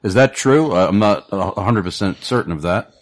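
A man with a fairly low voice co-hosts a radio talk show. He reads out listener questions in a measured way and adds short remarks of his own. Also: expressive. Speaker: co-host reading listener questions.

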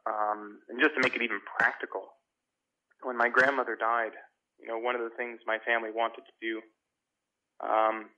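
A man's voice: mid-range voice, gravelly, lo-fi sound, background noise. A man, a pastor answering earnestly on a radio show, often restarting words as he talks. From Brooklyn, New York. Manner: earnest, halting, repeats words when starting.

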